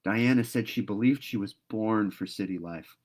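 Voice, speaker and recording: A man's voice: fairly low pitch, moderate pace, lightly acted. A man voicing a talkative, dreamy young girl in a storybook read-aloud, earnest and gushing. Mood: rapturous.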